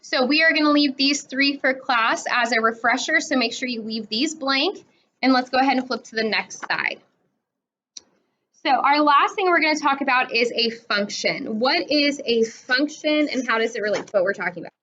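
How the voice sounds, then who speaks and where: A woman's voice: sits high, expressive, moderate pace, sharp tone. A woman in her twenties teaching a math lesson, explaining step by step and checking in with a frequent "right?". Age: 20s. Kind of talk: recorded classroom lesson. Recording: dull lo-fi recording.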